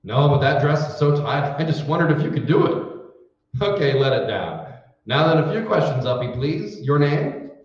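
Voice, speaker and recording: A man's voice: a little high, lively intonation, moderate pace, natural voice. A man playing a folksy, brash, joking husband in 1940s-style radio comedy. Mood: teasing. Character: wisecracking, folksy husband running a polling place. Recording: echoey hall, distant mic, dull sound.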